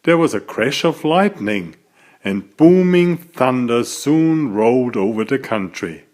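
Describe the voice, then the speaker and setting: A man reading a children's story aloud as its author, in an expressive, deliberate storytelling manner. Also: mid-range voice.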